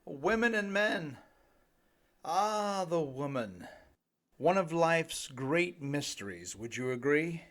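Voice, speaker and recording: Slow-paced middle-aged man reading his audiobook. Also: fairly high pitch, expressive, fairly bright tone. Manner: light tongue-in-cheek humour, addresses listeners directly. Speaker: author reading own audiobook. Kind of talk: scripted narration, self-help audiobook.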